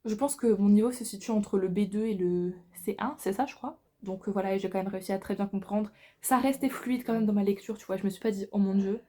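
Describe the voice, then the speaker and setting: A woman's voice: mid-range voice, fairly quick, warm tone. A woman in her twenties, a book vlogger, chatting casually and informally to camera, with slangy phrasing. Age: 20s.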